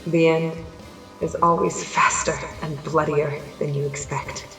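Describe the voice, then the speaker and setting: A woman's voice: sits low, little pitch movement, unhurried, vocal fry, a bit bright, echoey room, faint music behind, heavily acted, poor audio. A woman playing a weary, grim army commander in a fantasy audio drama, speaking solemnly and fatalistically. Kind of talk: dramatic audio fiction acting.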